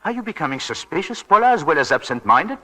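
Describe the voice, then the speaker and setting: An actor playing a controlling Victorian husband in 1940s radio drama style, smooth with endearments that turn to pointed questioning. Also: male voice, a little high, big pitch swings, fast, sharp tone, heavily acted. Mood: insinuating, suspicious.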